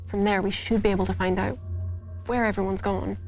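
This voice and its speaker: A woman playing a tabletop role-playing game in character, with a brisk, no-nonsense manner. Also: medium pitch, little pitch movement, darkish tone. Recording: music behind the voice.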